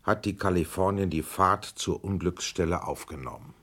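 A pressing, low-voiced male investigator. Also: normal speed, a bit bright, husky, heavily acted. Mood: probing. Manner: pressing, formal questioning. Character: probing male committee investigator. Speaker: questioner. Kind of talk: 1980s radio drama acting.

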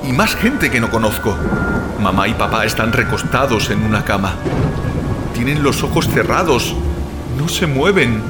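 Man acting a bewildered, sceptical brother over loud music. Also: medium pitch, expressive, normal speed, pauses often, lightly acted. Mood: uneasy, brooding. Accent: Castilian Spanish. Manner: blunt, swearing, dramatic. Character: bewildered, sceptical brother, foul-mouthed, narrating his dread. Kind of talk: Spanish audio drama with first-person narration. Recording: loud music bed under the voice. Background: loud music, storm sounds.

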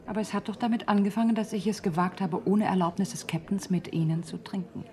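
Radio drama role: female actor as self-assured, inquisitive socialite, medium pitch, coquettish yet cool. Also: quick pace, warm tone, natural voice. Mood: indignant. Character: poised, inquisitive, self-assured society woman, gently challenging. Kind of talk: German radio drama acting.

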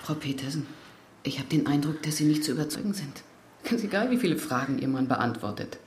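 A woman's voice: sits low, lively intonation, brisk, darkish tone. An actress in a German radio drama playing a reasonable, formal, soothing woman official in an echoey room. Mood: firm, soothing. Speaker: actor in a radio play. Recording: echoey room, background noise.

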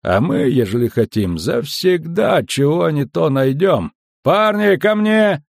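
A man voicing a sly, unhurried customs officer who speaks slowly and clearly, with folksy mockery, in an audiobook. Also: fairly high pitch, expressive, dry recording, studio quality, voice pushed up. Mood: mocking. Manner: slow, clear, drawling, mockingly folksy.

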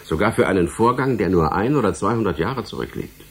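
A man's voice: on the low side, animated, fairly quick, husky. A man playing a courteous, evasive middle-aged department head in a radio drama, explaining things in a polite, officious way. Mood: regretful, dismissive.

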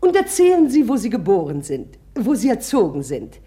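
Bossy middle-aged society mama in a radio play, mellow voice, swoops up and down, curious and effusive. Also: female voice, a little high, huge pitch swings, normal speed. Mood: curious, eager. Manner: commanding, effusive, abrupt. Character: imperious, curious society mother, middle-aged, impulsive and talkative. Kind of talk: radio drama acting.

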